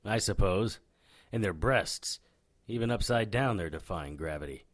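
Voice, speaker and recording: An actor playing a hard-boiled occult private investigator in a noir audio drama, with a deadpan, wry manner. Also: male voice, on the low side, moderate pace, natural voice. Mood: deadpan, brooding. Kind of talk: noir radio drama acting with first-person narration.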